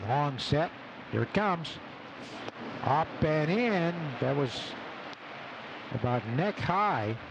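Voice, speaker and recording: Higher-voiced man calling baseball over loud noise. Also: slow, creaky, long pauses. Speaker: baseball play-by-play announcer. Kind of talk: live radio sports commentary. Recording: noisy outdoor ballpark radio broadcast. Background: steady ballpark noise.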